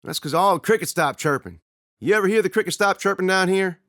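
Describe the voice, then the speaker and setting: A man's voice: animated, fairly bright tone, no echo, studio quality. Man playing a drawling teen big brother. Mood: urgent. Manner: slow, drawling, low storytelling. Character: teasing teenage older boy, spinning spooky tales.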